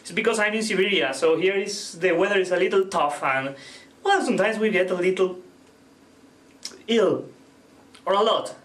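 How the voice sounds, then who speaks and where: A man from Spain with a Spanish accent gives a casual video lesson while fighting off an illness. His voice sits a little high for a man. He explains in halting English and keeps stopping to correct himself. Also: a bit bright. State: sick, with a cough. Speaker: Spanish teacher.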